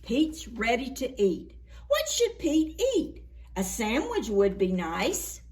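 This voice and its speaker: A woman storyteller reading a picture book aloud to young children in a playful, dramatic, expressive way. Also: on the high side, slow pace. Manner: animated, playful, dramatic reading with gasps and exclamations; addresses young children with simple questions.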